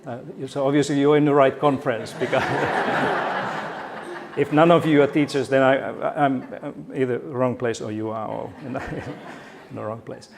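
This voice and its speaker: A man's voice: mid-range voice, steady tone, a little slow, vocal fry, crowd noise. A middle-aged man from Finland with a Finnish accent, giving a conference keynote in a friendly, joking way with frequent restarts. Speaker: keynote speaker. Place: conference hall.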